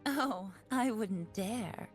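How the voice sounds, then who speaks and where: A woman's voice: medium pitch, lively intonation, drawn-out words. Sly graceful fox lady, game voice line style, faint music. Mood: sly, cool. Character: sly, graceful fox spirit woman, coolly confident. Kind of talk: video game voice acting.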